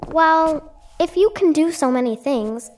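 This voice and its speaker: Radio drama: small girl, high voice, sweet, playful, pleading, music underneath. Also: expressive, unhurried, a little mellow. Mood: earnest. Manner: childlike, earnest, teasing.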